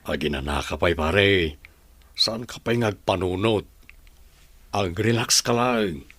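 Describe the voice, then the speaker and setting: A man's voice: low voice, takes it slowly, fairly bright tone, no echo, heavily acted. An actor playing an insistent man mixed up in shady business in a radio drama, with a Filipino accent. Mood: reassuring. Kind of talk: Ilocano radio drama acting.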